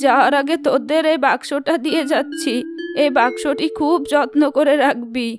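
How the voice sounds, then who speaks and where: A woman voicing a weary, gentle old wandering traveller in a cartoon moral story. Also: high voice, normal speed, natural voice. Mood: grateful. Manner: gentle, blessing. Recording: music behind the voice.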